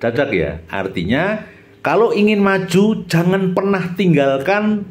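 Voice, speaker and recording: Animated middle-aged man with a Javanese accent. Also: high voice, unhurried, lo-fi sound. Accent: Javanese Indonesian, Central Java. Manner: chatty, often checks in with listener using questions. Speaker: channel host, former radio announcer. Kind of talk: reminiscing monologue with a companion chiming in.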